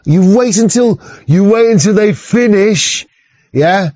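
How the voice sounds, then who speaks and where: A man's voice: high-pitched, animated, slow, a little mellow, dry recording. A man hosting a livestream in a casual, rambling monologue, swearing constantly and restarting his sentences. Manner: heavy swearing, frequent stammered restarts. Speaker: livestream host. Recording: slightly muffled dry mic.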